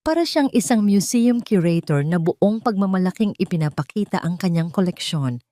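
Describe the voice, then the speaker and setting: Lowish-voiced woman narrating drama. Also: animated, normal speed, dark tone, no echo, studio quality. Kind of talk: dramatic scripted story narration.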